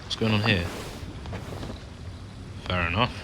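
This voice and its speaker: A man's voice: lowish voice, steady tone, takes it slowly, very noisy. A man casually commenting over a game playthrough in a rambling, offhand way, often correcting himself. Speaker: gameplay commentator. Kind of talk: casual let's-play commentary. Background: game music.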